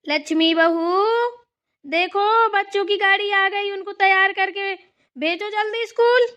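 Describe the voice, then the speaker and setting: A woman's voice: extremely high pitch, steady tone, measured pace, sharp tone, full character voice. A woman voicing an older village mother-in-law in a family cartoon, bossy and chatty, instructing in a homely, rambling way. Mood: bossy, scolding. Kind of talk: amateur Hindi family cartoon dialogue.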